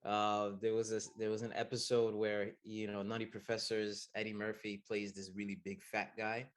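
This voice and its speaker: A soft-spoken man in his thirties, speaking in a fairly low voice. He answers at an easy pace with many ums, and he laughs easily between his thoughts. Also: monotone, normal speed. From United States. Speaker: acupuncturist guest. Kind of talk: casual interview answers.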